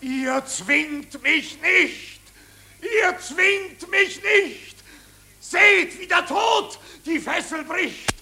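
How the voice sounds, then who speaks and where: A dying soldier defies his masters in a radio play. The voice is extremely high and tense, drawing out impassioned verse very slowly with a strained, declamatory edge. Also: lively intonation, full character voice. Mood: shouting defiance. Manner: strained, declamatory, rising to shouts. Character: defiant dying soldier, a father.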